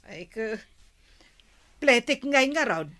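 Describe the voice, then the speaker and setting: Unhurried, teasing village woman. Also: fairly high pitch, animated, sharp tone, dry recording, studio quality, exaggerated voice. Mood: wheedling. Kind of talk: radio drama acting.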